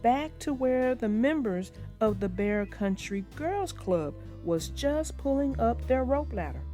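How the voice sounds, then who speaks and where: A woman reads and talks through a children's story in a fairly high, very animated voice. Her phrasing is emphatic and deliberate, and music plays behind her. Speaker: children's book narrator. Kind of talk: expressive storybook read-aloud with informal commentary.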